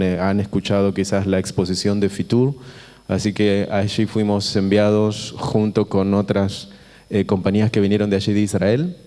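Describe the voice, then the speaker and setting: A man from Buenos Aires with an Argentine accent, preaching informally to a congregation in a conversational, halting way, repeating phrases and checking in with his listeners. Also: lowish voice, dark tone. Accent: Argentine Spanish. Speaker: visiting preacher. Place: church auditorium. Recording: reverberant hall with long echo.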